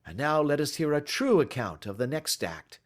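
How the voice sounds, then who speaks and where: A male reader plays a commanding gentleman detective in a mystery audiobook. His pitch is raised and very lively, with formal, precise phrasing and a coolly sardonic edge. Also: moderate pace. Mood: stern. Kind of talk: audiobook character voice.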